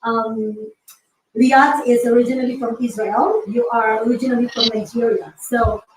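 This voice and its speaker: A woman hosting an online interview, upbeat and welcoming and quick to laugh. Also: on the high side, fairly even intonation, noisy background. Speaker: host. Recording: echoey room, distant mic.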